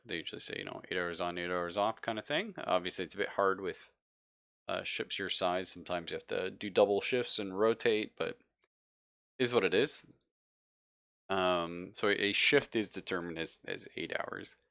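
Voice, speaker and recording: A man with a low voice and a bright, forward tone acts as game master. He narrates a tabletop roleplaying session in a casual, conversational style. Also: normal speed, creaky. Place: live online game session.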